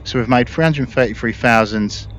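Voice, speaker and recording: A man hosting a gaming video with casual, relaxed, explanatory commentary. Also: mid-range voice, moderate pace. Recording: slightly dull audio, faint game noise.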